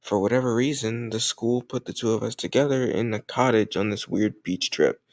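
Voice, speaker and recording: Casting: male actor as cocky teen jock bully, lowish voice, smug but secretly soft, close dry intimate roleplay audio. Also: normal speed, no room sound, natural voice. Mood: smug, teasing. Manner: teasing, smug, hesitant murmured asides. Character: cocky, popular teenage football captain bully, secretly tender. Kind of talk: intimate scripted audio roleplay addressed to listener.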